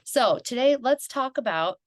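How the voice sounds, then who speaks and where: A woman's voice: on the high side, lively intonation, a little slow. A woman hosting a solo podcast, talking in an earnest, encouraging, conversational way, with a stuffy nose from a head cold. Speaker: podcast host.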